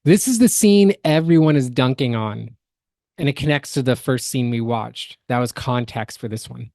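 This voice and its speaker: A woman's voice: a bass voice, normal speed, mellow, dry recording, studio quality. A woman co-hosting a podcast, giving opinionated, mocking reaction commentary in a casual style. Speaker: podcast co-host.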